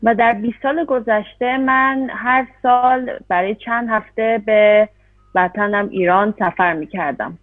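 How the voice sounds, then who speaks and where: A woman interpreting a talk, rushing through phrases with hesitant fillers between them. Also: fairly high pitch, no echo. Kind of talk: consecutive interpretation. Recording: dry, tinny phone-like sound.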